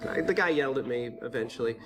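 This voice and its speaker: A man's voice: mid-range voice, fast talker, noisy background. A man from California with an American accent, chatting casually as an interview guest in a hesitant, understated way. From California, United States. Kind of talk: casual chat.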